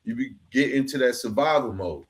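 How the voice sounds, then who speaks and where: A man's voice: medium pitch, expressive, brisk. A man hosting a reflective long-form interview, mixing conversational street slang with rhetorical, motivational phrasing. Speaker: podcast host.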